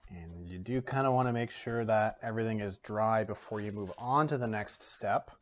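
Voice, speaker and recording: Relaxed man demoing watercolour. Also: on the low side, normal speed. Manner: relaxed, talks through steps with many fillers. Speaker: art educator. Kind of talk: casual art demo. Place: home studio, indoors.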